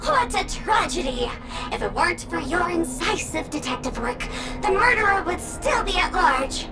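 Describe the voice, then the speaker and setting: A woman's voice: extremely high pitch, very animated, normal speed, recorded from a distance, over loud music, poor audio. An actress playing a flamboyant woman sheriff in a video game, with melodramatic, gushing delivery and sudden exclamations. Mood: dramatic.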